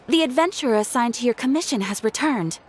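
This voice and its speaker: A formal, polite female receptionist, voiced by a woman with a fairly high, lively voice. A faint hiss sits under her lines. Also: quick pace, lightly acted.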